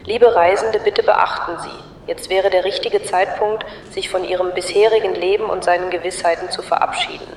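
A woman's voice: fairly low pitch, steady tone, moderate pace, hardly any pauses. Echoey actress as uncanny female station announcer. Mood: formal. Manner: formal, knowing, teasing. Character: uncanny, meddling female station loudspeaker in a dream. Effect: station loudspeaker. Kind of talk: mock public announcement in audio drama. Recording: echoey, distant public-address sound.

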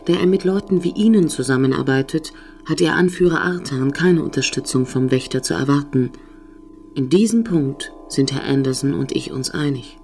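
A woman's voice: very deep voice, darkish tone, faint music behind. Cool, precise female station computer played by an actress. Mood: firm, guarded. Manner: formal, measured, officious. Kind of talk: science-fiction audio drama acting.

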